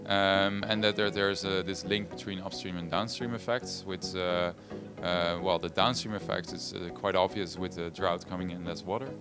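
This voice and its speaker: Low-voiced man, deliberate and hesitant. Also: steady tone. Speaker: game player. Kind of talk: interview. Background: background music.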